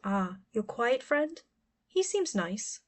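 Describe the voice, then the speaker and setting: Audiobook role: caring grown-up cousin, female, gentle and careful, fond with a wistful edge read by a woman narrator. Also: fairly high pitch, very animated, slow pace. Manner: gentle, careful, murmured at times.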